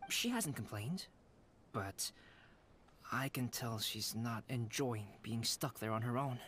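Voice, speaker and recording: A man voicing a polite, caring young man in a video game, calm and measured, with gentle formal phrasing. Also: mid-range voice, slight background noise. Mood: calm, courteous.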